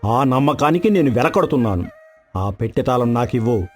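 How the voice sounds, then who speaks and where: A man playing a domineering greedy young master, animated delivery. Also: medium pitch, fairly quick, soft background music, natural voice. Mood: scheming, coaxing. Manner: domineering, threatening. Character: greedy arrogant hot-tempered landlord's son-in-law. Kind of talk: dramatized folk tale acting.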